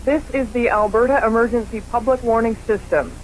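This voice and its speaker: A woman voicing a calm automated public warning system, reading a formal scripted message. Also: a little high, fairly quick, lightly acted. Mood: calm, official. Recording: hissy, humming radio warning broadcast.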